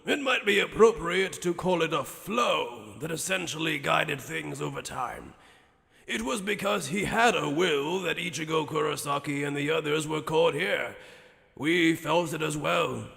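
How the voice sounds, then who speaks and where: A man performs an ancient, knowing anime sage with heavy gravel in a slightly high male voice. He speaks gravely and theatrically, drawing out key phrases, and every word rings in a long hall reverb. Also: measured pace, a bit bright, exaggerated voice. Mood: solemn, emphatic. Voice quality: gravelly, creaky. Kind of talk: anime fan dub acting.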